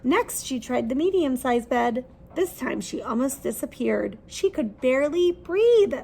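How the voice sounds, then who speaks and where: A woman's voice: high voice, animated, a little slow, vocal fry, warm tone. A woman reading a children's story aloud expressively, putting questions to her listeners as she goes.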